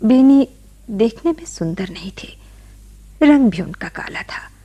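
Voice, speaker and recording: An actress playing a gentle, bashful young village-born wife in a radio drama, with soft, emotive delivery. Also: female voice, a little high, expressive, measured pace. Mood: sorrowful, reflective. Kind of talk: Hindi radio drama acting.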